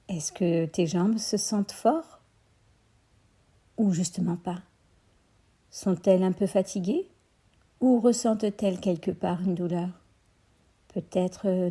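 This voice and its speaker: A woman guides a children's meditation in a very dark, mellow voice with a husky, breathy edge. She speaks gently and soothingly, like a teacher. Her instructions are deliberate, inviting the listener to pay attention. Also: mid-range voice. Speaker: schoolteacher and children's yoga teacher.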